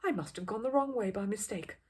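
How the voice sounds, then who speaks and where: A woman's voice: mid-range voice, animated, fairly quick, a little mellow, husky. A woman voicing a guilty, flustered and polite young male bear in a children's storybook, earnestly making excuses. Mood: surprised, apologetic.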